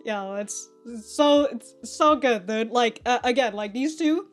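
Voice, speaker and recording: High-voiced woman, sweary casual reviewer. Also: a little slow, faint music behind. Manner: sweary, slangy, mock-indignant, laughs often. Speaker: reaction channel host. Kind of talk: casual episode review commentary.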